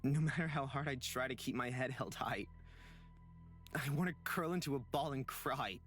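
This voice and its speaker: A man's voice: mid-range voice, moderate pace, a bit bright, soft background music, natural voice. A man voicing an earnest, anxious young man in a visual novel game, sincere and hesitant. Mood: scared.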